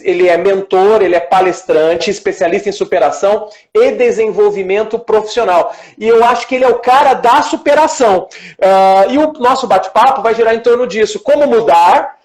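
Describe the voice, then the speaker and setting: A man with a high-pitched voice hosts a casual online conversation. His delivery is effusive and colloquial, addressing guest and viewers in an informal, conversational way. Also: darkish tone. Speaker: live show host. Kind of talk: casual interview chat.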